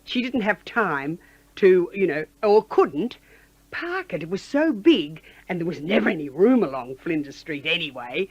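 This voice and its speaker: Aussie lady reminiscing casually, lowish voice, dry slightly dull recording. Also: sing-song, a little slow, husky, no echo. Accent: Australian. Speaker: station colleague being interviewed. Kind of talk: casual anecdotal recollection. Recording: dull band-limited interview recording.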